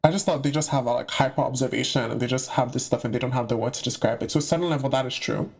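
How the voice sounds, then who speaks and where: A man's voice: mid-range voice, racing, vocal fry, husky. A young man from the UK giving a casual, slangy monologue to camera about spiritual topics, with playful asides. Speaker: spiritual content creator.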